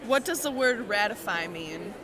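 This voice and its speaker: A woman's voice: medium pitch, expressive, normal speed. A young woman interviewing people in a casual, chatty street quiz, informal and giggly in manner. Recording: busy public crowd noise behind.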